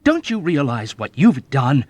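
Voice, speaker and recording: A man voicing an earnest young soldier who protests loudly and stammers in indignation in an audiobook. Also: on the high side, wildly swooping pitch, moderate pace, no echo, voice pushed up, heavily acted. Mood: indignant. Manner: loud, outraged, stammering protests.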